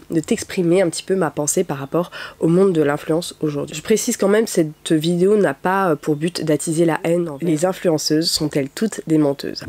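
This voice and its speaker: A woman's voice: low voice, darkish tone. Stuffy-nosed young woman vlogging. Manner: chatty, many "euh" fillers. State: has a cold, speaks through her nose. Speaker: YouTuber, content creator. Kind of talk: casual talk to camera. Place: at home, window open, rain outside.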